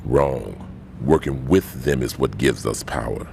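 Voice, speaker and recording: A gravelly, deep gang boss. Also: male voice, a little slow, a little mellow, slight background noise, exaggerated voice. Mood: cold. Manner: curt, authoritative. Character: commanding male gang boss, stern. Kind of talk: video game cutscene acting.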